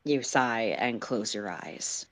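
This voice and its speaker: A woman's voice: extremely low pitch, expressive, slow. A woman narrating a story dramatically in the second person, as a livestream reader.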